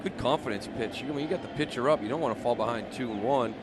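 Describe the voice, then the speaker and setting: A man's voice: medium pitch, fast talker. A man giving conversational analysis as a color commentator on a live baseball broadcast. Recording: noisy live ballpark radio broadcast. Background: stadium ambience and noise.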